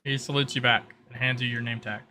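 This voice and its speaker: A man's voice: mid-range voice, fairly even intonation, brisk, sharp tone, a little hiss or hum. A man narrating as game master, explaining the rules and briefing players in piecemeal, hesitant phrases.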